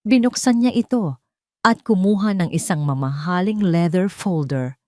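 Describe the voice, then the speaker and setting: A woman's voice: on the low side, expressive, normal speed, a little mellow, no room sound, studio quality. A woman storyteller giving a dramatic, scripted narration of a story.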